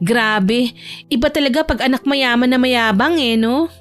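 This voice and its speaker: A woman voicing a feisty, sharp-tongued young rich woman, snappy and sarcastic, in a romance audio drama. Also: a little high, a little slow, faint music behind, lightly acted. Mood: casual, proud.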